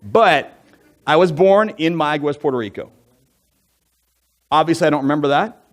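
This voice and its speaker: A man in his forties, an elder from the United States, telling his life story to a church congregation in a relaxed, conversational way, full of asides and jokey fun facts. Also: fairly high pitch, moderate pace. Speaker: church elder giving a testimony. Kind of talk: personal testimony talk from the pulpit. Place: church sanctuary.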